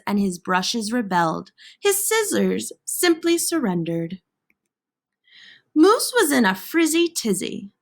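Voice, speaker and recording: Lady reading a kids book out loud, high voice, really swoopy and dramatic, lots of pauses. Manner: theatrical, dramatic pauses and emphasis on alliterative words. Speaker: storybook reader. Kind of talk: expressive children's picture book read-aloud.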